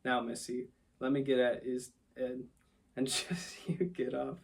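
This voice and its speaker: Guy doing a cockney cab driver, rough n kindly, drops his aitches, gravelly edge, storybook style. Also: mid-range voice, fairly even intonation, a little slow. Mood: coaxing. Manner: dropped aitches, colloquial, coaxing. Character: rough, kindly working-class London cabby, male. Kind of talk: storybook character voice.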